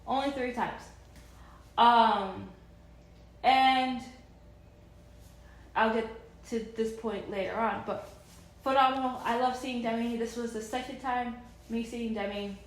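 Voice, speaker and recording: A woman's voice: a little high, steady tone, slow, pauses often, roomy sound, rough recording. A woman in her thirties chatting casually and enthusiastically in a vlog, rambling with many fillers and restarts. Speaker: vlogger.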